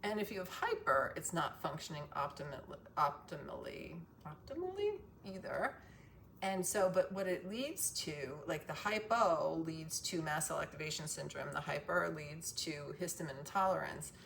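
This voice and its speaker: Gravelly, strained-voiced woman vlogger. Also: low voice, moderate pace, hardly any pauses, fairly bright tone, slight background noise. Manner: profane, emphatic, goes off on tangents. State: voice strained from loud singing. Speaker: wellness vlogger sharing a self-cure. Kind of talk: casual, rambling advice vlog.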